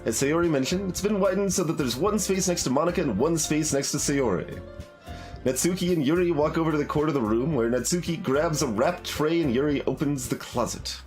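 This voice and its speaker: A man's voice: on the high side, brisk, darkish tone. A man livestreaming a game, reading its narration and dialogue aloud with casual commentary over music.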